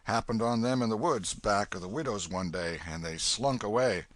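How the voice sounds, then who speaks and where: A man voicing a kindly, gruff old Welshman with a booming, fatherly manner. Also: moderate pace, fairly bright tone, no room sound, close to an everyday voice. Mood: eager.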